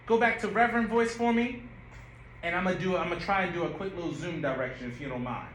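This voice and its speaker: Man giving director notes, bright voice, slightly high, echoey room. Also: quick pace, recorded from a distance, a little hiss or hum. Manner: animated, demonstrates lines while giving notes. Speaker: director and writer. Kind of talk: rehearsal coaching.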